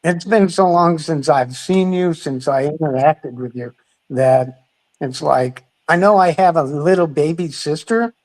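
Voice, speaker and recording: A man's voice: on the high side, measured pace, darkish tone. A man chatting with his family on a live stream, speaking hesitantly with restarts. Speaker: guest, brother. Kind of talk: casual family chat.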